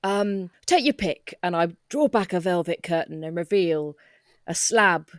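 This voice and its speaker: Morbid, deferential assistant, played by a woman: lowish voice, dry sound, politely matter-of-fact. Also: unhurried, dry recording, natural voice.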